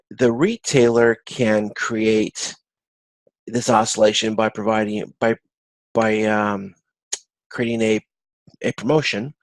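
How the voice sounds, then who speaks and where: A man giving an informal online lecture as an instructor, explaining in a halting way and often rephrasing himself. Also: on the low side, creaky, dry recording, poor audio. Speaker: course instructor. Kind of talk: informal recorded video-conference lecture with slides.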